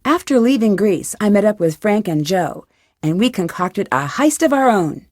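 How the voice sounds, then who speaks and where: A confident teenage girl detective narrating a letter in a video game. Her medium-pitched voice is recorded close and dry, with polished scripted delivery. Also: sing-song, moderate pace, darkish tone, no room sound. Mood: upbeat, wrapping up.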